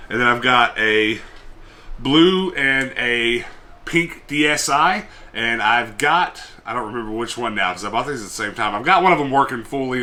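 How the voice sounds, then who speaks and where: A man's voice: mid-range voice, background noise. A man chatting casually in a vlog, showing off his collection and rambling with self-deprecating humor. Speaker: collector vlogger. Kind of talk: casual show-and-tell vlog.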